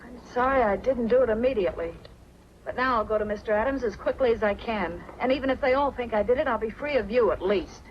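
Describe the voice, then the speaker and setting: A woman's voice: a little high, fast talker, natural voice. An actress playing a proud, wrongly accused young woman in a 1940s film noir, earnest and indignant. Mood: defiant. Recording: dull, faintly noisy 1940s film soundtrack.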